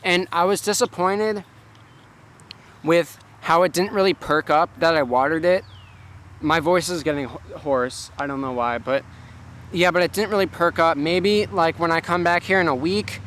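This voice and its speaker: A man's voice: fairly high pitch, fairly even intonation, moderate pace, a bit bright, a little hiss or hum. A man casually vlogging outdoors in a home garden, filming himself with a handheld camera and rambling with lots of fillers. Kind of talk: casual self-filmed vlog. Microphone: handheld self-filming camera.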